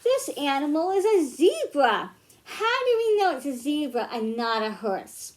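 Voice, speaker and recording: American female children's librarian, high register, slow delivery, child-directed read-aloud and simple teaching. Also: very animated. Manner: simple, child-directed phrasing with questions to listeners. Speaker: children's librarian hosting storytime.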